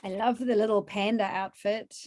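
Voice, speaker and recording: A woman's voice: medium pitch, steady tone, normal speed, a little mellow. A woman, hosting a virtual cooking class from her home kitchen, chatty and upbeat as she questions a child.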